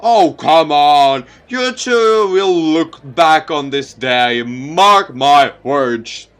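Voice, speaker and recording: A man acts out a sardonic grown man from a visual novel. He drawls his mockery slowly in a bright, forceful voice, relishing every tease. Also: a little high, lively intonation, clean tone, a faint crowd, full character voice. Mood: mocking. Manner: mocking, drawn-out teasing. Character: sardonic, teasing adult man, mister at an academy.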